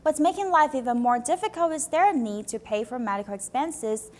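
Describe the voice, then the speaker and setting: A woman presenting the news as anchor, reading a script in a bright, even studio delivery. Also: high voice, a little fast.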